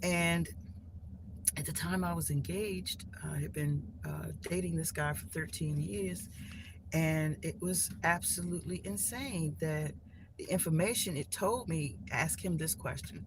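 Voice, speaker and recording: A woman's voice: low-pitched, moderate pace. A woman hosting a live stream from her phone at home, talking casually and loosely about spiritual lessons, with many restarts and fillers. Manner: rambling, many restarts and "you know" fillers. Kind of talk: casual spiritual talk to viewers. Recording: clear background noise.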